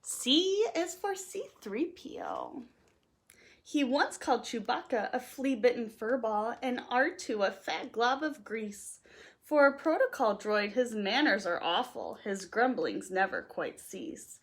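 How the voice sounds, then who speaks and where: A woman reading a rhyming children's picture book aloud in an expressive, playful, sing-song way. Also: a little high, a little slow. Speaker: storytime reader.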